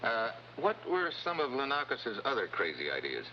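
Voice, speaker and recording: An actor playing a tough, wry American special agent with clipped, wisecracking delivery in a 1950s-style television crime drama. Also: male voice, mid-range voice, huge pitch swings, moderate pace. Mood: wry, pressing. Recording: dull, noisy old TV soundtrack.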